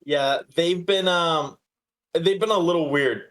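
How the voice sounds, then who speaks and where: A man with a somewhat high-pitched voice talks as a sports podcast host. His analysis is casual and conversational. He often repeats a phrase while working out his next thought. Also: a little slow, a bit bright.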